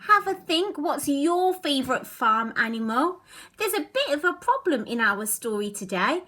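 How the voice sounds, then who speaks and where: A woman's voice: high-pitched, big pitch swings, normal speed, bright, forceful tone. A woman reading a story to young children, speaking expressively and addressing them directly as a storytime reader.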